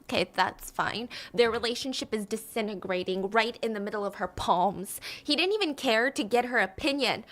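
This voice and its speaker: Female book reviewer, enthusiastic, casual, slightly higher voice. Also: normal speed, hardly any pauses, fairly bright tone. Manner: enthusiastic, gushing. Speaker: book video host. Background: played from a video on stream.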